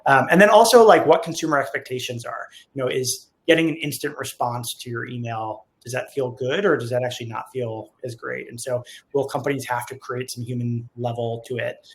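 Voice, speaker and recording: A man's voice: medium pitch, brisk, few pauses. A man co-hosting a company webinar in a casual, conversational way, thinking aloud as he goes in an informal, rambling manner. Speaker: co-host of a company webinar, software company staff. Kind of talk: casual panel talk.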